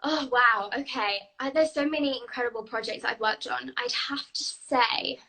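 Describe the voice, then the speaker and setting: A woman's voice: on the high side, moderate pace, pushed and bright. A young British girl actress, bubbly and eager, answering questions in a live video interview. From England. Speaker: guest actress.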